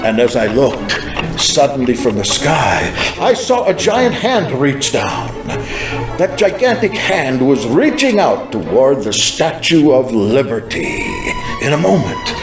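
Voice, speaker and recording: A man giving a solemn, dramatic first-person scripted narration of a religious vision. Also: fairly high pitch. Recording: echoing, clear music behind. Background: music.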